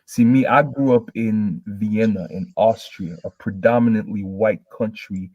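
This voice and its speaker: A man from Nigeria with a mellow, relaxed voice speaks in a measured way, pausing on fillers. The sound is dry and dull, like lo-fi call audio. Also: fairly low pitch, dry recording. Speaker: debate panelist. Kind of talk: online debate, casual.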